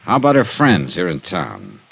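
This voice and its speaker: An actor playing a calm, laconic male insurance investigator who also narrates, in a 1950s radio drama. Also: low-pitched, normal speed, creaky, breathy edge, dry recording, lo-fi sound, heavily acted. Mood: patient. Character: cool, dry-witted male insurance investigator. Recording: old radio recording with music behind.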